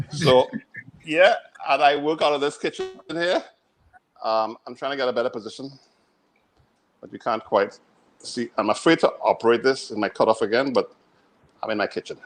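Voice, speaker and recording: A man, a chef on a panel, talking in a relaxed, rambling way from his home kitchen. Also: fairly low pitch, normal speed, pauses often, a little hiss or hum, rough recording. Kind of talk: casual video call panel chat.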